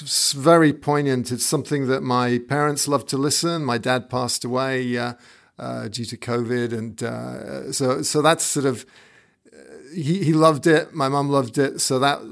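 A man raised in England, hosting a podcast interview in a casual, halting way full of fillers and restarts. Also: medium pitch, fairly even intonation, vocal fry. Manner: hesitant, many fillers and restarts. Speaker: podcast host.

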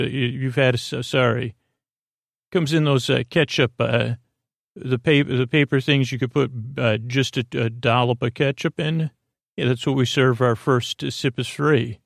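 A man with a creaky, gravelly voice hosting a sleep podcast, rambling soothingly in a halting, stammering monologue that keeps circling back on itself. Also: mid-range voice, no echo, studio quality. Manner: soothing, lulling. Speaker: podcast host. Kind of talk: rambling, meandering bedtime monologue.

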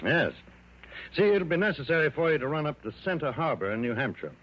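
A man's voice: fairly high pitch, very animated, fairly quick, lo-fi sound. An actor playing a shrewd, outwardly bland insurance executive in his late forties in early 1960s radio drama, polite and casual. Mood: polite, reserved. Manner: casual, polite, mildly hesitant. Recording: noisy, band-limited vintage radio recording.

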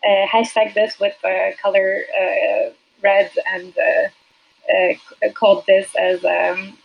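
A woman whose first language is Turkish, a UX designer as a podcast guest, talking hesitantly with many fillers and soft laughs. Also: mid-range voice, level tone, slow, creaky, slightly rough, highs cut off. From Turkey. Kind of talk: casual interview.

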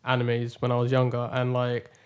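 Man from Austria, middle pitch, casual halting chat. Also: smooth. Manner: relaxed, halting, many fillers.

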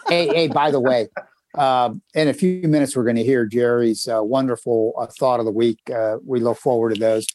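A man's voice: mid-range voice, fairly even intonation, normal speed, darkish tone. A man co-hosting a podcast, chatty and storytelling, full of fillers as he plugs upcoming segments. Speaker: co-host. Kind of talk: casual podcast chat. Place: home in northern Kentucky.

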